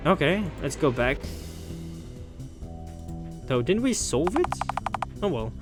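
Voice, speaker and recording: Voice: medium pitch, big pitch swings, slow, music in the background. A theatrical video game host commenting while playing and reading a visual novel aloud. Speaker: gaming video host and narrator. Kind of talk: let's play commentary with character voices.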